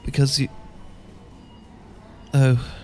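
A man's voice: mid-range voice, completely flat delivery, drawn-out words, faint music behind. Man playing a stammering, bashful British professor. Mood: flustered. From Britain. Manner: stammering, hesitant, full of false starts. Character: awkward, bashful, sentimental British English professor, no longer young. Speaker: audio roleplay performer. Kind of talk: ASMR romantic roleplay acting.

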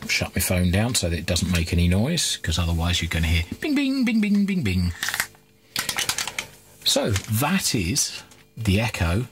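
A man's voice: low voice, big pitch swings, measured pace, music in the background. A man in his thirties casually chatting while repairing an old radio at his cluttered electronics workbench, rambling with fillers and restarts.

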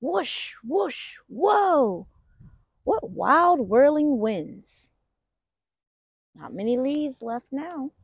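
A woman reading a picture book aloud for children in an expressive, deliberate storytelling manner. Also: sits high, dry recording. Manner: expressive, deliberate storytelling with stressed sound words. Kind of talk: animated children's read-aloud.

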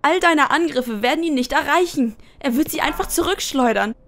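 A woman's voice: high voice, expressive, normal speed, a bit bright. A woman voicing an anxious, hot-tempered teenage girl in an emotional, pleading manner for an anime fan dub. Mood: desperate, shouting. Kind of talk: dramatic anime dub acting. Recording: fan dub with music underneath. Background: background music.

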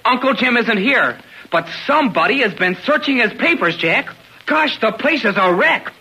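An actor playing an eager, excitable high-school boy with an American accent in a 1940s radio serial, earnest and full of gee-whiz exclamations. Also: moderate pace, husky, heavily acted. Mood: urgent. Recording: noisy old radio broadcast recording.

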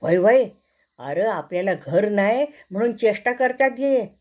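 A woman's voice: mid-range voice, lively intonation, moderate pace, a little mellow, voice pushed up, lightly acted. A woman voicing short-tempered, rustic monkeys in a children's storybook, taunting and indignant. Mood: offended, indignant.

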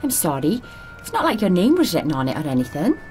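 A woman's voice: on the low side, huge pitch swings, fast, darkish tone, faint music behind. A young woman acting as a plucky, down-to-earth student in an audio drama, speaking in an informal, feisty way. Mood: friendly, puzzled. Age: young adult.

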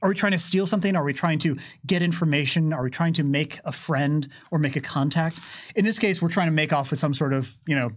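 A man's voice: a little high, fast. A man in his thirties co-hosting a game demonstration, explaining rules in a casual, conversational way. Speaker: game developer and co-host demonstrating tabletop rules. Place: small curtained studio, seated at a table. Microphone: microphone on a boom stand.

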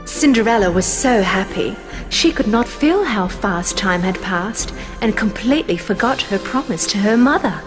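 A woman's voice: mid-range voice, expressive, moderate pace, over music. A woman narrating a scripted fairy tale as a storyteller.

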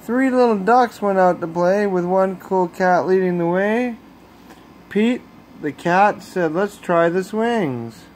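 A high-pitched male storyteller reads a picture book to young children at a slow pace. His phrasing is deliberate and expressive. It is a homemade phone recording with a steady hum behind the voice. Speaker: storyteller reading aloud to children. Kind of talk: bedtime picture-book read-aloud. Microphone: handheld phone camera.

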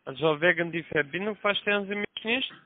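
Male caller, non-native accent, higher-than-average pitch, confrontational, thin phone audio. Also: brisk, slight background noise. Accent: foreign, non-native. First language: not German. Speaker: call center scam caller. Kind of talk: phone call. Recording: tinny narrowband phone line.

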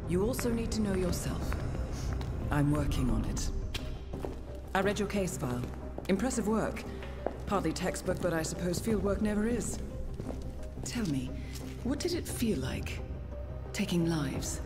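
Spy agency handler, coolly confident, low voice, formal, game cutscene, music behind. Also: female voice, lively intonation. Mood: cool, probing. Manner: measured, formal, precise. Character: poised, composed female intelligence agency handler, coolly confident. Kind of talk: cinematic game dialogue and mission briefing. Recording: music under the voice.

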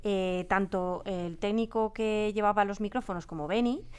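A woman's voice: medium pitch, moderate pace, clear, resonant tone. A woman with an accent from Spain telling anecdotes casually in an interview, acting out what people said. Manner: animated storyteller, acts out quoted remarks. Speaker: radio drama production team member. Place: radio drama studio.

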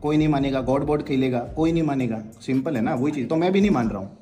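A man's voice: mid-range voice, quick pace, mellow, soft background music. Blunt, heated man on a headset. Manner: blunt, heated, repeats himself. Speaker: esports player. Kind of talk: livestream talk and call recording. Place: small room, sitting in a gaming chair. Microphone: headset mic.

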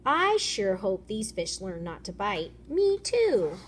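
A woman's voice: medium pitch, big pitch swings, slow. A woman voicing a small, plucky fish in a picture book, exclaiming in short bursts. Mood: curious. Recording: noisy room ambience.

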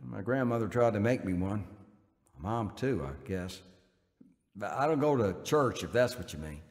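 An actor playing a tough career criminal with rough, slangy street talk, in a radio drama. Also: male voice, fairly low pitch, expressive, moderate pace, darkish tone, no room sound, clean studio sound. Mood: subdued. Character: tough, broke career criminal, jailed, turning repentant.

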